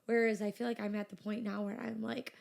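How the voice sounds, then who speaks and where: A woman's voice: medium pitch, level tone, fairly quick, creaky, darkish tone. A woman in her twenties, a business owner, chatting casually as a podcast guest and filling her talk with "like" and "you know". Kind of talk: casual interview chat.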